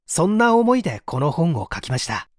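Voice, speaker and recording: Lively-pitched audiobook narrator, dry close sound. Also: brisk, no echo. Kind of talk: scripted book reading.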